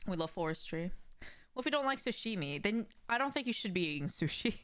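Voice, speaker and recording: A woman's voice: mid-range voice, sing-song, fast, a bit bright, clear, resonant tone. A young woman live streaming a game, chatting casually and speaking directly to her viewers. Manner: conversational, addressing viewers directly. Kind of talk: casual livestream chat while gaming. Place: indoor room at home.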